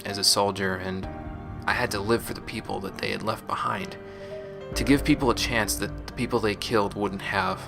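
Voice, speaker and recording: A man playing a resolute, guilt-burdened space marine, recording a measured, solemn confessional audio log. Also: lowish voice, fairly even intonation, gravelly. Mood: bitter, resolute. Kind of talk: scripted sci-fi audio log monologue. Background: music.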